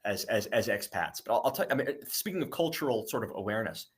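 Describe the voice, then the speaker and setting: A middle-aged man from the United States, a guest talking in a relaxed interview, often restarting and repeating words. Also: fairly low pitch, quick pace, slightly rough. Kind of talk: conversational interview.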